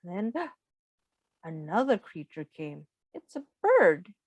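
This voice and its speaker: A woman's voice: fairly low pitch, sing-song, slow pace, no room sound. A woman reading a picture book aloud to young children, patiently explaining and asking simple questions like a teacher.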